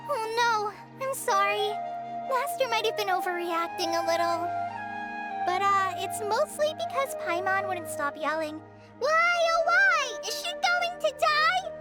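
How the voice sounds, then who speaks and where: A woman's voice: very high-pitched, animated, unhurried, over loud music. A woman voicing a sweet, shy, earnest young girl training as a forest ranger in an anime game, polite and a little hesitant. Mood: apologetic, teasing. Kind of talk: anime video game voice acting.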